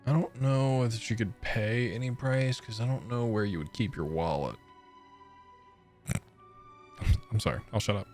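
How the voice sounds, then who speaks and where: A man's voice: medium pitch, a little slow, long pauses, darkish tone, faint music behind. A man giving casual, chummy gameplay commentary as a streamer, rambling and cracking jokes. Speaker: game streamer.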